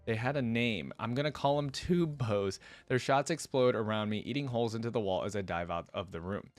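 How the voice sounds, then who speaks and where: A man's voice: on the low side, fairly quick, dry recording, lightly acted. A man voicing a vain, playful, easily distracted birdlike battle dancer in a flippant first-person story reading. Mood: excited, flippant.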